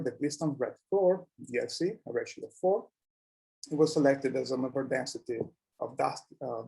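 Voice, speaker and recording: A man presenting research in a scientific conference talk, with a halting delivery that often repeats words. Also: medium pitch, vocal fry, dark tone, poor audio.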